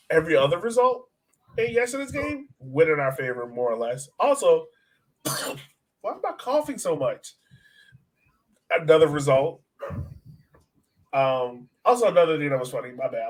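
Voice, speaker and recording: A man co-hosting a soccer fan podcast, talking in a casual, excitable way. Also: a little high, rough recording. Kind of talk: casual sports podcast chat.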